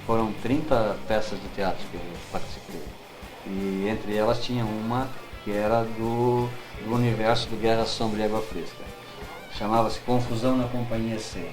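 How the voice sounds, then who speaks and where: A middle-aged man with a Brazilian accent, reminiscing as an interview guest in an unhurried, halting way, searching for his words. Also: lowish voice, level tone, music in the background, rough recording. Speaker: guest writer.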